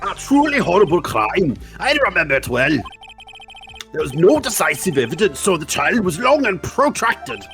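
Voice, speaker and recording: A man's voice: sits high, big pitch swings, moderate pace, fairly bright tone, soft background music. A man voicing an elderly, pompous courtroom judge with a theatrical mixed European accent in a live game fan dub. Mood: solemn. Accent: exaggerated mixed European. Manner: theatrical, formal.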